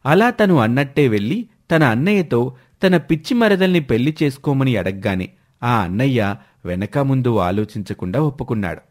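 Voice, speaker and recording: A man's voice: medium pitch, very animated, moderate pace, darkish tone. A man narrating a scripted moral story as its storyteller.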